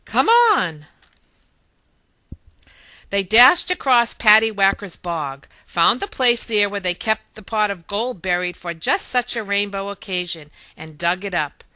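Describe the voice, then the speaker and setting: A woman reading a children's story aloud in expressive narration. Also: medium pitch, normal speed, few pauses, dry recording. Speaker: storybook reader.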